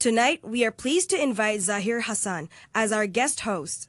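A woman's voice: on the high side, expressive, brisk, no echo. A woman from Hong Kong hosting a radio program and interviewing a guest.

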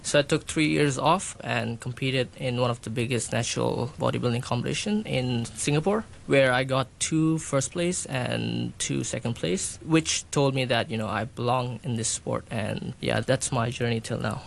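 Male podcast guest from Hong Kong, gravelly voice, chatty and meandering. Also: mid-range voice, normal speed, few pauses. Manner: rambling, many fillers and restarts. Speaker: guest, bodybuilder and personal trainer. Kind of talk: casual interview answers.

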